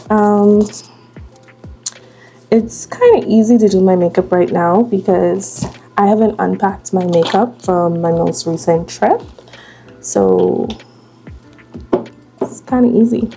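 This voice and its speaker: Slow, gravelly young Bahamian woman. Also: mid-range voice, over music. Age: 20s. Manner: slow, relaxed, chatty while doing makeup. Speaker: vlogger. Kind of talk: casual get-ready-with-me chat.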